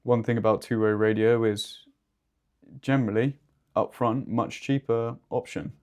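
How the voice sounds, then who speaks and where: A man co-hosting a business discussion as an expert, explaining in a measured, wordy, conversational way. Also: mid-range voice, fairly even intonation, darkish tone. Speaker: podcast co-host and two-way radio expert.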